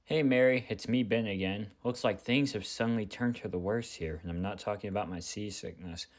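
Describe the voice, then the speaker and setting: A man acts out a lovesick park visitor in an audio drama. His voice sits on the low side, casual and conversational, as he leaves a wistful, lonely voicemail. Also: brisk, background noise, close to an everyday voice. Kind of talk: acted voicemail message.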